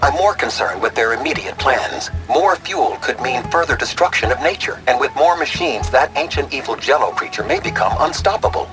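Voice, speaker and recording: An actor playing a self-important, cryptic scholar mentor in a video game, speaking formally with deadpan delivery. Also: male voice, medium pitch, a little fast, few pauses, over music, lightly acted, rough recording. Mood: grave, measured. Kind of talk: video game cutscene acting.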